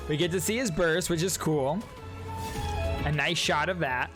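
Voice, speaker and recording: Young man, casual chatty reactions, rambling, slightly high voice, game music behind. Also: measured pace, rough recording. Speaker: content creator reacting to trailers. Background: game trailer music.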